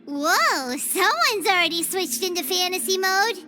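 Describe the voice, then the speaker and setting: A woman voicing a tiny, excitable, boastful fairy companion in a video game, with a squeaky childlike voice and theatrical manner. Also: a little slow, faint music behind, heavily acted. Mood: let down. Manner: refers to self in third person, theatrical. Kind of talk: animated video game voice acting.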